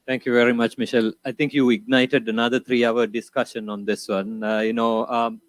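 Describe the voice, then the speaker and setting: A man with a Sri Lankan accent moderating a conference panel in a halting way, often saying "you know". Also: medium pitch, level tone, dry recording.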